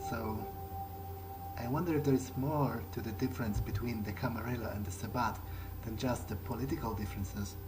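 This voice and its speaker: A man improvising in-character narration for a game playthrough, speaking in a halting, understated, thoughtful way. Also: medium pitch, fairly even intonation. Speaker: gaming video creator roleplaying a character. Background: game music.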